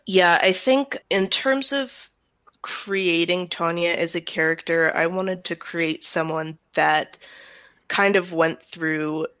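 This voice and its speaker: A woman's voice: fairly low pitch, takes it slowly, fairly bright tone, no echo, lo-fi sound. An American woman giving casual, explanatory answers as an interview guest. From United States. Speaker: audio drama writer and director, interview guest.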